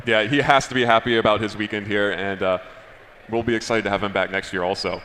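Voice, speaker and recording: A man with a bright, forceful voice hosts live esports coverage. He sounds enthusiastic and congratulatory. The sound has long hall reverb and faint noise behind him. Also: on the low side, fairly even intonation, fast. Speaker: tournament commentator and interviewer. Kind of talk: live esports commentary and interview.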